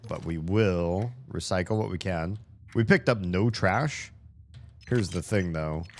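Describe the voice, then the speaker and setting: Deep-voiced man chatting while gaming. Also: unhurried. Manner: chatty, swears a lot, talks to himself while playing. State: slightly hoarse. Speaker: video game streamer. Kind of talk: casual gameplay commentary.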